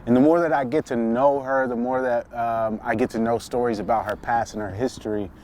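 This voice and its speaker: A man in his twenties from Texas, hosting a relaxed, open conversation with lots of fillers and easy laughs. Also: mid-range voice, fairly quick, slight background noise. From Dallas, Texas. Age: 20s. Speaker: host. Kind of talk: casual conversation.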